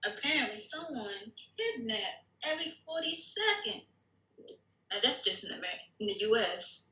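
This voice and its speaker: A woman's voice: a little high, big pitch swings, moderate pace, sharp tone. An actress reading a gossipy, condescending society wife in her thirties at a screenplay table read. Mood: alarmed, gossipy. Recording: echoing room, faint background noise.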